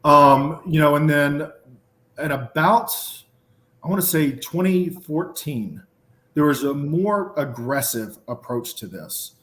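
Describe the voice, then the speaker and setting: A man's voice: fairly high pitch, expressive, slow pace, fairly bright tone, echoey room. A man with a Southern drawl, a podcast guest who runs his words together and fills with um and you know. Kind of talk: casual podcast conversation.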